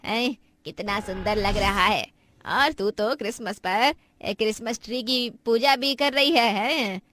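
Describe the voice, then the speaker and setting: A woman's voice: fairly high pitch, a little slow, fairly bright tone, slight background noise. A woman voicing an opinionated older female guest, emphatic and moralizing, in a cartoon story. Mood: admiring.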